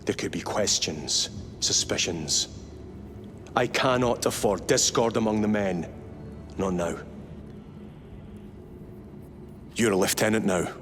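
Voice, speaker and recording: A stern, deep-voiced warrior captain. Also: male voice, fairly bright tone, faint music behind. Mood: guarded. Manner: blunt, measured orders.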